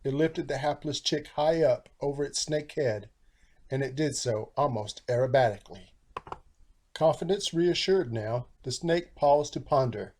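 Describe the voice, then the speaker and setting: A man reading a children's storybook aloud in a theatrical, animated style with rhyming phrasing. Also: medium pitch, a little hiss or hum. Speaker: storybook narrator, author.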